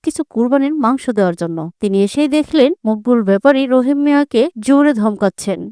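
A woman's voice: fairly high pitch, expressive, brisk, mellow, clear, resonant tone, no room sound, clean studio sound. A woman narrating a scripted cartoon story.

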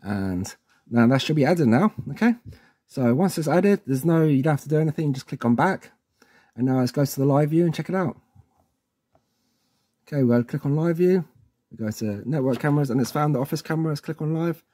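Male tutorial presenter, relaxed mellow tone, a little higher than average, informal and chatty instructional delivery. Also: fairly quick. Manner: informal, chatty, frequently checks in with "okay". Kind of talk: casual instructional walkthrough.

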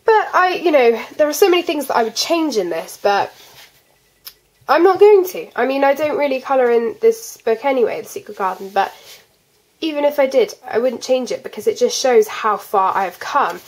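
A woman's voice: medium pitch, poor audio. A fourteen-year-old girl with a congested, cold-affected voice, chatting casually as she hosts a hobby video. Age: 14, teenager. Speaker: colouring channel host. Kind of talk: casual chat to camera, showing artwork. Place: indoors at a desk.

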